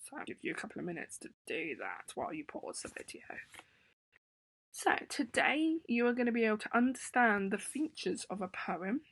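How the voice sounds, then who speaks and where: A woman in her thirties with an English accent, hoarse from a sore throat, gently teaching young children over a webcam with many ums. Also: fairly high pitch, sing-song, normal speed, poor audio. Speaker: primary school teacher. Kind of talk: remote lesson to children. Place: home room indoors. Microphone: laptop webcam.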